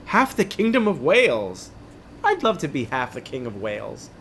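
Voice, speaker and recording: A man's voice: mid-range voice, huge pitch swings, moderate pace, a bit bright, slight background noise. Man putting on an earnest, theatrical English medieval knight. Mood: earnest, boastful.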